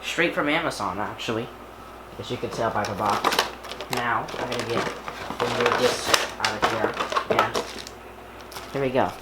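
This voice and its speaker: A man's voice: medium pitch, unhurried, pauses often, very noisy. A man giving casual, hands-on unboxing commentary, informally talking through each small action as he does it. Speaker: hobbyist video creator presenting an unboxing.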